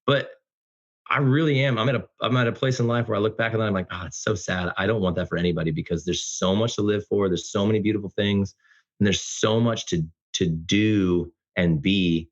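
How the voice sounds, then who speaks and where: Deep-voiced middle-aged man, dull lo-fi audio. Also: brisk. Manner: earnest, effusive. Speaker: podcast guest. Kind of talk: casual heartfelt conversation.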